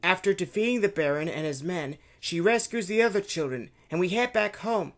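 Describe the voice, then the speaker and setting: A man voicing an eager young boy excitedly recounting an adventure in a raised voice. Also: high-pitched, fairly quick, voice pushed up. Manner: excited, breathless storytelling.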